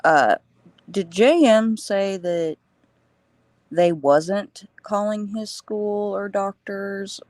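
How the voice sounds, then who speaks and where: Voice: medium pitch, drawn-out words, a little mellow, no room sound. A person chatting casually on a live stream, choosing words carefully and hesitantly.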